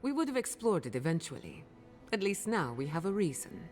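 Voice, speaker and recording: Low-voiced sardonic companion. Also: female voice, big pitch swings, a little fast, a little mellow. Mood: dry, sardonic. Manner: dry, sardonic. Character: blunt, wry female adventuring companion. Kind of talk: fantasy video game acting. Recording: music behind the voice.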